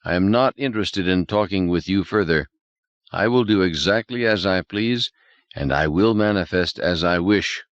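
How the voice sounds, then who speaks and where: A man's voice: low-pitched, moderate pace, no echo, lo-fi sound. A man voicing Jerry, an arrogant male alien intelligence that speaks stiffly and formally without contractions, in an audiobook reading. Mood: contemptuous.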